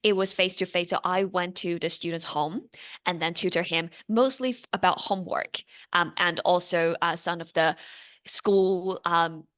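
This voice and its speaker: A woman's voice: on the low side, fairly even intonation, normal speed, fairly bright tone. A young woman from China with a Chinese accent, native Mandarin speaker, answering interview questions earnestly with many fillers and restarts. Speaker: guest tutor.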